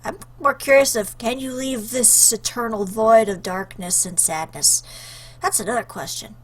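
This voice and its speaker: Casting: female let's play host, higher voice, playful, joking, off-the-cuff game commentary. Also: normal speed, husky. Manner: joking, chatty. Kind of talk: casual playful game commentary with read-aloud choices.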